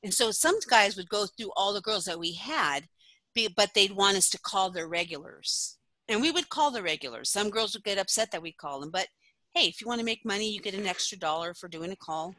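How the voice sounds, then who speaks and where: A middle-aged woman, a former phone sex operator, telling stories in a casual podcast interview, chatty and quick to laugh. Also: medium pitch, lively intonation, pushed and bright, dry recording. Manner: chatty storyteller who laughs often.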